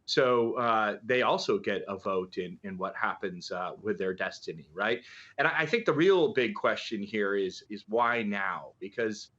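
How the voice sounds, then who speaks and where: A man's voice: lowish voice, unhurried, fairly bright tone. A middle-aged man giving live news commentary as an analyst, conversational and hesitant, posing rhetorical questions to make his points. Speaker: political analyst and journalist guest. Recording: dull, lo-fi remote link.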